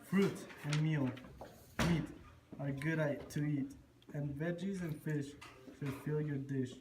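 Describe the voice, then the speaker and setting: A man's voice: fairly high pitch, steady tone, takes it slowly. A man playing a wily, freeloading traveler who speaks in rhyme in an amateur puppet show. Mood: self-assured. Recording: roomy live show with audience noise.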